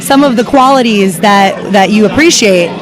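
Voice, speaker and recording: A woman hosting interviews at a convention, speaking in an enthusiastic, gushing way. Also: mid-range voice. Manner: gushing, quick reactions. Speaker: interviewer host. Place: convention floor. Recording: noisy crowd around. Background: crowd.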